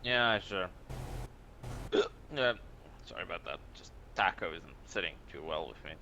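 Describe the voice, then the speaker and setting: A man's voice: sits low, expressive, moderate pace. A man playing a tired, queasy, reluctant driver in a game, mumbling and groaning. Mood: weary, evasive. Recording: noisy, dull game voice chat.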